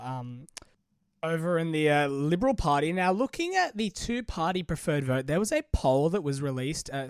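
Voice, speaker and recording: A man's voice: fairly high pitch, no room sound, clean studio sound. A young man hosting a solo politics podcast, chatty and full of restarts, with a cold that makes him sound a bit different. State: has a cold. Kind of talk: casual solo commentary.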